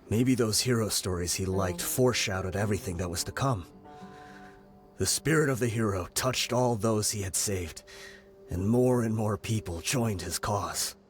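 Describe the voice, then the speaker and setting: A man's voice: fairly low pitch, lightly acted. An actor playing a weary male mercenary leader who speaks in a brooding, confessional way.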